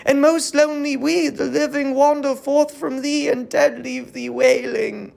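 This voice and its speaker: A woman acts an aged queen brought low in a classical verse tragedy. Her voice is creaky and slow, stern and weary, drawing out each solemn line in grief. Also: steady tone, a little mellow, exaggerated voice. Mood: desolate lament. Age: older. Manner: mournful, solemn, drawn-out delivery.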